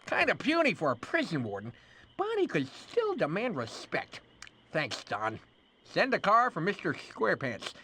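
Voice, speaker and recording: A man's voice: sits high, sharp tone, slight background noise, exaggerated voice. A man voicing a slick, frantic cartoon casting director with a theatrical, easily exasperated manner, for a video game. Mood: businesslike, unimpressed. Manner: theatrical, exasperated, fast-switching boss.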